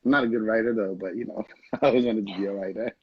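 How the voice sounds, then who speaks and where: A man's voice: lowish voice, steady tone, racing, breathy edge, dry recording. A young man from Haiti, a film director, chatting casually and rambling in an interview, laughing often. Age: young adult. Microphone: video call. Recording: dull lo-fi video call audio.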